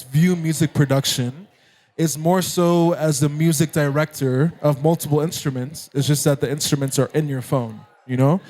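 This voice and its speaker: Young male musician guest, American accent, relaxed, laughs easily. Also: on the high side. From Nairobi, Kenya. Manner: relaxed, laughs often, many fillers. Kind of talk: casual interview chat.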